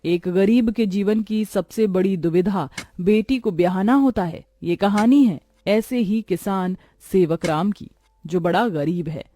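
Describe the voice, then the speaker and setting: A woman's voice: mid-range voice, a little slow, dark tone. A woman narrator reading a scripted moral story for a cartoon.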